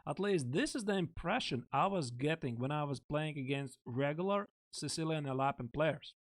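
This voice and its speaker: A man coaching chess on a live stream, thinking aloud and chatting casually with viewers in a close desk mic. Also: a little high. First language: Latvian. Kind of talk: live-streamed chess lesson, explaining lines.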